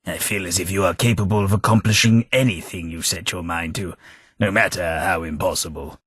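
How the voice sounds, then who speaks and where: An actor playing an old, wry undead London gentleman in a game, speaking, formal politeness and dry wit, with a husky voice. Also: low voice, steady tone, a little fast, no echo. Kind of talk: game companion voice lines. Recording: dry close studio recording.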